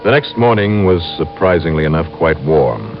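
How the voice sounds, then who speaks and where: Terse male investigator, extremely low voice, measured, radio noir, muffled old recording with loud music. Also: sing-song. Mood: brooding, suspicious. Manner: clipped, measured. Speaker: lead character and narrator. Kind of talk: 1940s-50s radio detective acting.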